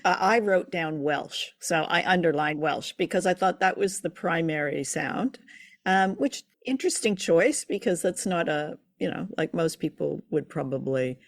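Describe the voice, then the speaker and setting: A woman's voice: fairly low pitch, moderate pace, no echo, studio quality. A woman co-hosting a show, chatting informally and telling stories about old radio drama. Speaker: podcast co-host.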